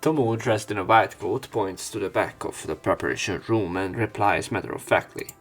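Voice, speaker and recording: Low-voiced man narrating a visual novel over music. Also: normal speed. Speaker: let's play host reading aloud and commenting. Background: game music.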